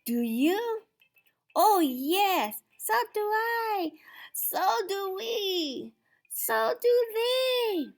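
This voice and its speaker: Woman doing squeaky, excitable little prairie dogs. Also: extremely slow, heavily acted. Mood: eager, delighted. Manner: squeaky, breathless exclamations. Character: excitable, nervous crowd of small prairie dogs.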